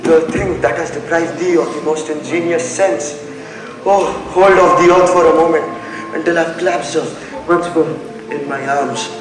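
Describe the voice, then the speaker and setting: A man's voice: fairly high pitch, fairly even intonation, normal speed, close to an everyday voice. A young man playing a grief-stricken, hot-headed brother, declaiming in a school stage Shakespeare play. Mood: anguished grief. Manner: declamatory, anguished. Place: indoor stage in a hall. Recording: distant mic, echoey hall, music behind.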